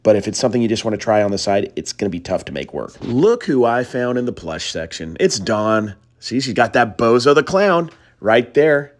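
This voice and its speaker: A man's voice: big pitch swings, normal speed, hardly any pauses, slight background noise. A man narrating a thrift-store reselling vlog as a late-night voiceover, tired and with his voice a little worn, talking casually and addressing viewers as guys. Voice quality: deeper voice than usual. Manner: chatty, trails off and restarts, addresses viewers as guys. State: tired, voice a bit gone.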